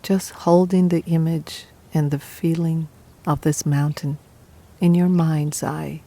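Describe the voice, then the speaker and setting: A woman guiding a meditation in a calm, unhurried, soothing manner, leaving pauses between short phrases. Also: low-pitched. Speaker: meditation guide. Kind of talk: guided meditation, scripted narration.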